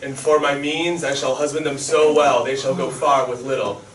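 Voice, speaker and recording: A man acting a young nobleman bent on revenge, speaking forceful stage verse, recorded from far off in an echoey hall. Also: mid-range voice, flat delivery, moderate pace, a little hiss or hum, close to an everyday voice. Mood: furious.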